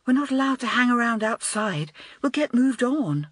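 A woman reading aloud and voicing an anxious, earnest schoolgirl in a high, worried voice. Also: big pitch swings, normal speed, a bit bright, breathy edge, no echo, studio quality, heavily acted. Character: anxious, chatty schoolgirl friend.

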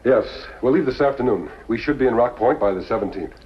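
An actor playing a courteous, resolute masked western hero with formal manners in a 1950s television show. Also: male voice, medium pitch, expressive, fast, husky. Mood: earnest, courteous. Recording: muffled, noisy old television soundtrack.

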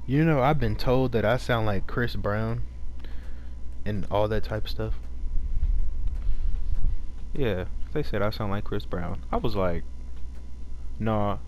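Deep-voiced teenage male gamer; slow, relaxed, low-pitched, casual headset chatter. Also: slight background noise, low-quality recording. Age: late teens. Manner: slow, laid-back rambling with profanity. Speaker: gamer chatting while playing. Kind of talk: casual chat.

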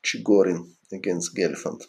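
Ukrainian guy on a chess stream, slow halting english, lots of uh's, gravelly. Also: low-pitched, steady tone, darkish tone. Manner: halting, broken English with many fillers and restarts. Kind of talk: casual livestream chat answering viewers.

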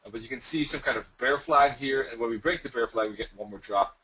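Webinar presenter, male, mid-pitched, voice going hoarse, casual and full of fillers. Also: quick pace, bright, forceful tone, poor audio. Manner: loose, conversational, with many fillers and restarts. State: losing his voice, clearing throat. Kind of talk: screen-share webinar explanation.

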